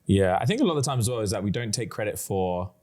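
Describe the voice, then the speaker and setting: A man's voice: on the low side, animated, fast, darkish tone. A man in his thirties co-hosting a podcast, talking in a loose, rambling, frank way and swearing casually. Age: 30s. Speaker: podcast co-host. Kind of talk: casual chat.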